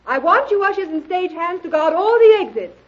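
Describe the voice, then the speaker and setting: An actress playing a poised young amateur detective who takes charge with crisp commands in an old-time radio drama. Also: female voice, very high-pitched, sing-song, a little fast. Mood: commanding. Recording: dull old radio recording, crowd murmur.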